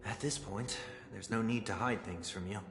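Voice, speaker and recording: An actor playing a refined, earnest fallen idealist in a video game, speaking formally and eloquently. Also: male voice, lowish voice, moderate pace, roomy sound, in a large hall, over music, natural voice. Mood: grave, explanatory. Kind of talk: dramatic video game dialogue.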